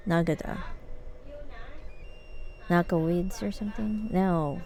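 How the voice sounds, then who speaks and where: A woman's voice: lowish voice, steady tone, slow, warm tone, a little hiss or hum. A woman doing casual live gameplay commentary, thinking aloud in an informal way. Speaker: game streamer. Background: faint game music.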